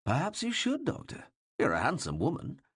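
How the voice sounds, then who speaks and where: A male narrator voicing a bawdy, teasing king who mocks and needles those around him in a loud, playful way. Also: fairly high pitch, wildly swooping pitch, normal speed, breathy edge, no echo, studio quality, pitched above the performer's own voice, full character voice. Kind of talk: audiobook reading.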